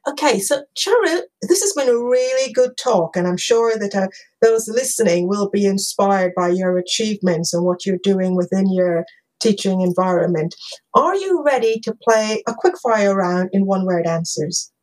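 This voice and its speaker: A woman hosting an interview podcast, encouraging and quick to laugh. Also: mid-range voice, lively intonation, darkish tone, poor audio. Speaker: podcast host.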